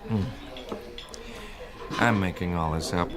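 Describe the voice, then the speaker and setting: An actor playing a shrewd, blunt secret agent in a radio drama, with a glib, needling way of talking. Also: male voice, deep voice, slow, a crowd in the background. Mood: needling, probing.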